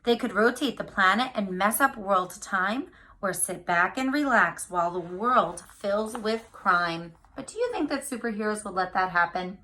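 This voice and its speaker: A woman with a very bright, forward voice at a medium pitch reads a picture book to young children. She addresses her listeners directly and leans on key words, stretching them out with animated storyteller expression. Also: normal speed, slight background noise. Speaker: read-aloud storyteller for children.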